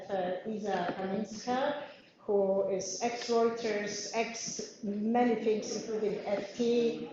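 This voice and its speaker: A woman's voice: medium pitch, dark tone, a little hiss or hum. A woman in her fifties with a Polish accent, chairing and introducing a panel debate in a chatty way with many fillers. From Poland. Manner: chatty, frequent fillers and laughs. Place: parliament meeting room. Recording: very echoey room, dull audio.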